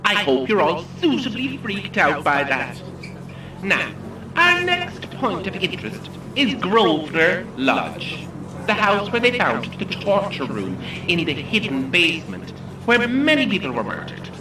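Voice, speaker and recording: A woman's voice: on the low side, huge pitch swings, unhurried, echoey room, distant mic, noisy background. A woman with an Irish accent playing a chirpy, ghoulish tour guide in an audio drama, with theatrical, jokey patter for a group. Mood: ghoulishly playful. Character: chirpy, ghoulish female tour guide hosting a coach game. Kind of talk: audio drama acting, announcing.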